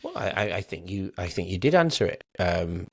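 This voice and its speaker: Fast-talking, lowish-voiced male interviewer. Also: sing-song, vocal fry, breathy edge. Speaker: podcast host and interviewer. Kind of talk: casual conversational interview.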